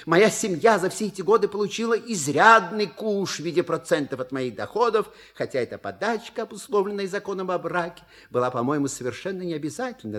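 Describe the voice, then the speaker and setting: An actor playing a suave, wry manservant with polite, measured, theatrical delivery in a stage comedy audio play. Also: male voice, a little high, fairly bright tone. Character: suave, wry manservant reading out a scandalous letter.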